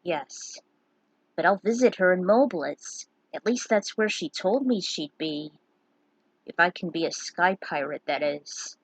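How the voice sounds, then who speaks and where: Young aspiring sky pirate, male, gentle and wistful, storybook reading, played by a woman. Dry sound. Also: fairly low pitch, expressive, unhurried, dry recording, low-quality recording. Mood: wistful, subdued.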